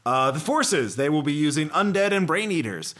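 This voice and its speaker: A man hosting a casual group brainstorming chat, excitable as he runs through ideas. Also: fairly high pitch, moderate pace. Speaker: podcast host.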